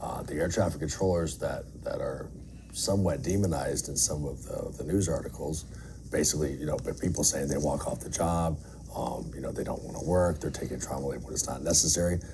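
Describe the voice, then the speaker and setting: A man's voice: rumbling low, fairly quick, creaky. A middle-aged man giving candid, earnest interview answers in an emphatic, plain-spoken way, as an air traffic controller. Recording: faint background noise.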